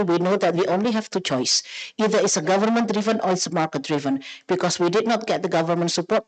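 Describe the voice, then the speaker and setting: A woman's voice: fairly low pitch, rough recording. A woman from Indonesia with an Indonesian accent, presenting as a company founder on a forum panel.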